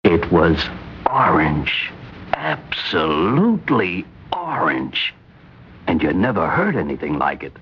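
A man's voice: low-pitched, sing-song, slow pace, breathy edge. A man giving a dramatic introduction as the narrator of an old-time radio drama. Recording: muffled old radio recording.

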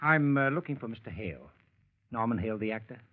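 A man's voice: lowish voice, animated, quick pace, no echo. An eager young schemer talks his way in, fumbling and then persuasive. The old radio recording is dry and dull. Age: young adult. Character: eager, scheming young male talent manager. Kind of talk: 1940s radio acting.

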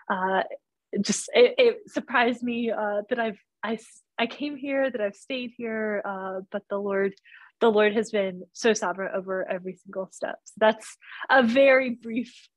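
A woman's voice: mid-range voice, measured pace, no room sound. A woman in her thirties, raised in North Carolina, speaking earnestly as a guest author in a casual interview, with frequent fillers. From born in Romania, raised in North Carolina. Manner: earnest, thoughtful, with many fillers.

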